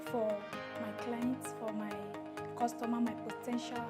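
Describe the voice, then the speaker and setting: A woman in her twenties introducing herself at a workshop in a measured, deliberate way. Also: fairly high pitch, little pitch movement. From Nigeria. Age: 20s. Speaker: workshop participant. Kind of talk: self-introduction. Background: loud background music.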